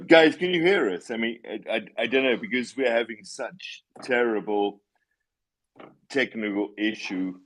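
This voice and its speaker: Slow halting low-voiced man hosting. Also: poor audio. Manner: halting, many restarts and fillers; apologetic, wry. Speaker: AMA host. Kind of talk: casual live audio chat. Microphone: online audio call.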